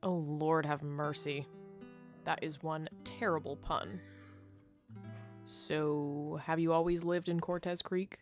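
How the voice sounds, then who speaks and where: A woman playing a gruff, guarded bounty hunter in a western visual novel, curt and dry. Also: low voice, level tone, slow, pauses often. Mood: wry. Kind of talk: visual novel character acting. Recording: guitar music behind the voice.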